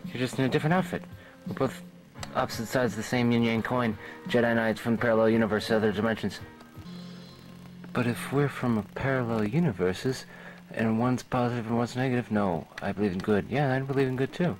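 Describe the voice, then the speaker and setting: A man acting a weary, bewildered Jedi knight in an amateur audio drama, talking haltingly and muttering to himself. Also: mid-range voice, close to an everyday voice, rough recording. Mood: musing. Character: weary, bewildered Jedi knight wandering the afterlife, meeting his double. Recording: old cassette tape with music.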